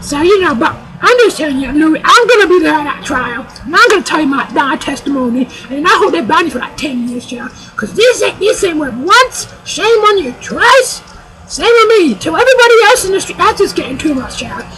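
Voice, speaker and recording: A performer voicing a loud, sassy older woman guest on a comic talk show, rambling and calling everyone "child". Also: high voice, sing-song, a little fast, poor audio. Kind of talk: comic sketch.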